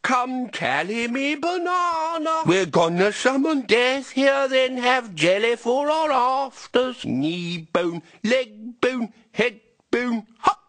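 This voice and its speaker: Very high-pitched silly chanter. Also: male voice, lively intonation, slow, a bit bright, no room sound, exaggerated voice. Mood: silly, exuberant. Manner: sing-song, theatrical. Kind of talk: comic game singing and chanting.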